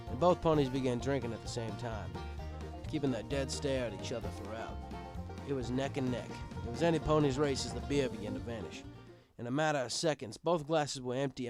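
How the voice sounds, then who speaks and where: A man reading a scripted first-person story as an audiobook narrator, with dramatic emphasis. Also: mid-range voice. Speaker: audiobook reader.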